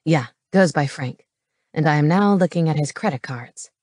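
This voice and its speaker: A woman voicing a gruff, brainy man in an audiobook, speaking in a terse, matter-of-fact and intense way. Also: deep voice, animated, moderate pace, darkish tone, no echo, studio quality, pitched below the performer's own voice. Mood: focused. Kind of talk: audiobook character dialogue.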